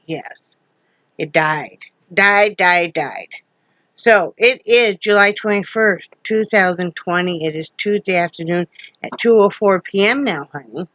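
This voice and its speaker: A woman's voice: on the low side, measured pace, a bit bright, dry recording. A middle-aged woman from Wyoming, wheezy with a sore throat, chatting in a folksy, rambling way with many fillers in her front room at home. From Wyoming, USA. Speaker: vlogger. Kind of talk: casual home vlog chat. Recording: dull lo-fi home recording.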